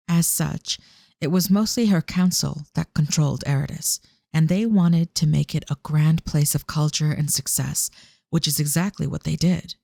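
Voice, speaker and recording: A man's voice: a little high, gravelly, a little mellow, no room sound, clean studio sound. A man reading scripted narration for an audio drama as its storyteller.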